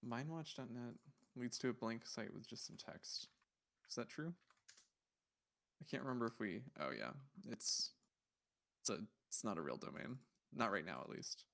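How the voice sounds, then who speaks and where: A man with a mid-range voice hosts a casual livestream. He talks in a rambling way, thinking out loud as he browses. His delivery is conversational and musing, as if puzzling things out. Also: medium pitch, lively intonation, normal speed, gravelly, long pauses. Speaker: livestream host.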